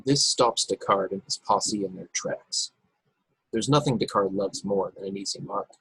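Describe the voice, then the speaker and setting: A man reads stage directions as narrator of a table read. His voice is fairly low and very husky, with a dry sound free of room echo. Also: normal speed, fairly bright tone. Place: remote video call.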